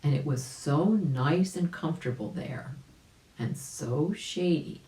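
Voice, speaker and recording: A woman's voice: low voice, expressive, slow, dark tone. A woman narrating a children's story, explaining things and speaking directly to young listeners. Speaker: volunteer storybook reader. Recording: echoey room.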